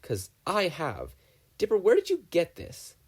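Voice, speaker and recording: A commanding older scientist, as a male reader voices him in a storybook-style reading. His talk is clipped and businesslike, sitting a little above the reader's own low voice. He keeps an animated lilt. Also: measured pace, husky, heavily acted. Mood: concerned. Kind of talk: podfic fan fiction reading.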